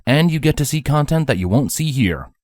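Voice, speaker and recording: An animated man delivers a channel plug. His pitch moves around a lot, and the sound is dry and close. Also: brisk, no echo. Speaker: narrator of a fan fiction reading channel. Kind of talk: promotional announcement.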